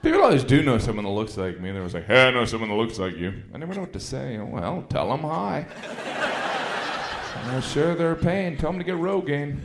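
Stand-up man, self-mocking and dry, lively up-and-down delivery, booming club echo. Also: fairly quick, background noise. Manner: self-deprecating, dry punchlines. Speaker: stand-up comedian. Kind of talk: stand-up set on stage. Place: comedy club stage. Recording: echoey club with loud crowd laughter. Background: audience laughing.

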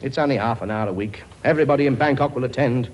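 A man's voice: medium pitch, fast, darkish tone, highs cut off, slight background noise, close to an everyday voice. A man playing a bossy, joking king who lectures a huge brood of children in a live comedy sketch. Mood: lecturing. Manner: blustering, bossy, wisecracking. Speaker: comic actor.

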